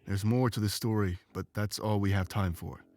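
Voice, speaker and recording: A man voicing an ancient, weary, remorseful animal companion in a visual novel game, speaking in an earnest, measured, confessional way. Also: on the low side, fairly even intonation, darkish tone, soft background music, close to an everyday voice. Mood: grateful, earnest.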